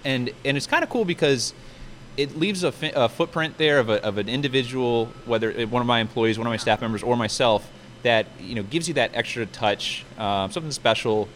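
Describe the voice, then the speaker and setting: A man's voice: mid-range voice, a little fast, fairly bright tone, a little hiss or hum. A man chatting casually and at length as a restaurant manager being interviewed on site, talking in a rambling way. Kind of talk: casual promotional interview chat. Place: inside a pizza restaurant.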